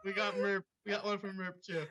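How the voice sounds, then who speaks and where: A man's voice: sits high, monotone, brisk, pushed and bright. A man reading fan fiction aloud and breaking off into casual, chatty asides. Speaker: story reader. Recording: dull lo-fi recording.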